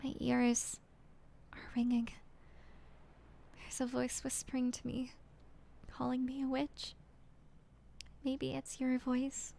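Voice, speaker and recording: A woman voicing a heartbroken young woman reading out her farewell letter in a halting, apologetic half-whisper. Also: high-pitched, monotone, gravelly, warm tone, voice pushed up, heavily acted.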